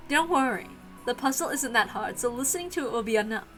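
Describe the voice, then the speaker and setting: A woman's voice: a little high, animated, quick pace, a bit bright, soft background music. A woman voicing a cheerful, encouraging aunt in a visual novel read-aloud, playful and reassuring.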